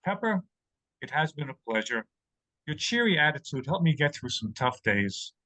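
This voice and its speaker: A man playing an aging, weary, gentle mouse who speaks as a calm, fatherly mentor in an audio drama. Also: fairly high pitch, moderate pace, poor audio. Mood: resigned, tender.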